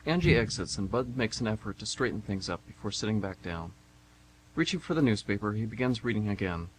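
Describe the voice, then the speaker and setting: A man's voice: fairly low pitch, animated, brisk, a little hiss or hum, low-quality recording. A man reading scripted narration and announcements for a radio theatre broadcast.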